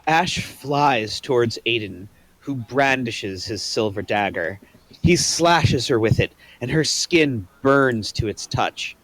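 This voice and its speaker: A man's voice: medium pitch, lively intonation, takes it slowly, a bit bright, no echo, rough recording. A man narrating the action in a plain, matter-of-fact way. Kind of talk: reading stage directions.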